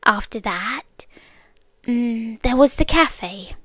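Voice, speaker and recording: A woman acts out an eerily calm, possessive yandere girlfriend with a British accent and a high voice. She speaks slowly and deliberately, often sinking into a whisper. It is an intimate ASMR roleplay, very close and dry on the microphone. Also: no room sound. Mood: matter-of-fact, eerie. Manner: measured, deliberate phrasing, often dropping into whispers.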